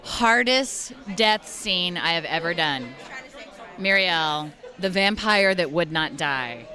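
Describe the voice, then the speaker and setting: A woman voice actress and singer chatting in a convention interview, bubbly and quick to laugh. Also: lowish voice, animated, bright, forceful tone, soft background music, some chatter behind.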